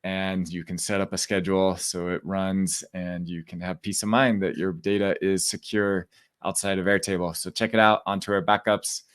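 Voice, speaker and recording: A man hosting a live podcast over a video call, reading a sponsor spot in a brisk, upbeat way. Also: low voice, a bit bright, clear, resonant tone, dry recording. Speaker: podcast host.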